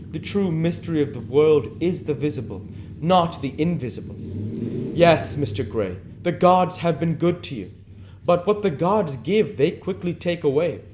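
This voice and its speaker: A man voicing a languid, persuasive aristocratic gentleman from a novel, lecturing emphatically on how one should live. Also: fairly high pitch, lightly acted. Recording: noticeable background noise.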